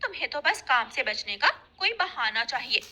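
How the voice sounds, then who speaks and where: A woman voicing a fed-up crow wife in a cartoon story, nagging and sharp-tongued. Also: high voice, big pitch swings, normal speed, full character voice. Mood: exasperated.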